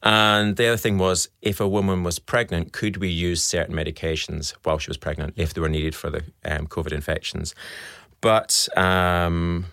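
Very low-voiced Scottish man explaining. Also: flat delivery, vocal fry, fairly bright tone, smooth, no echo, clean studio sound. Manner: measured, explanatory, with frequent ums. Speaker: fertility specialist. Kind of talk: expert interview answers.

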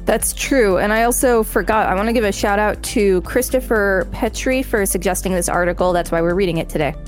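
A woman's voice: medium pitch, fairly quick, soft background music. A woman podcast co-host reading an encyclopedia article aloud and adding commentary.

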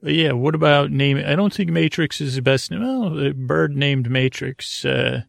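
Male sleep podcast host: gravelly, creaky, meandering and halting, whimsical, recorded close and dry. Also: fairly high pitch, no echo. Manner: meandering, halting, stammering, repeating himself; soothing, whimsical, absent-minded. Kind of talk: rambling bedtime monologue meant to lull listeners to sleep.

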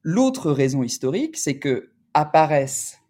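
A man speaking as an academic researcher in an interview, explaining in a deliberate, halting, scholarly way. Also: on the high side, very animated, warm tone.

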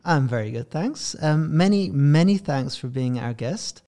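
A man's voice: a little high, animated, a little slow, dark tone. A man with an English accent, hosting a podcast and interviewing in a conversational style. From England.

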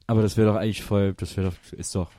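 A man's voice: fairly low pitch, flat delivery, quick pace, mellow. Laid-back man reading listener questions. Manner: laid-back, reads questions aloud, chuckles. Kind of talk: casual banter podcast.

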